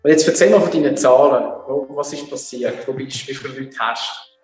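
A man's voice: mid-range voice, fairly quick, far from the mic. A man who hosts a casual interview and asks curious, probing questions. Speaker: host. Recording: roomy, faint music.

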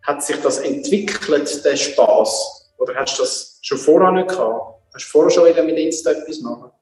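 A male host asks curious, probing questions in a fairly high voice. The recording sounds roomy, with faint music underneath. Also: expressive, normal speed, a little mellow, far from the mic. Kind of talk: casual interview chat.

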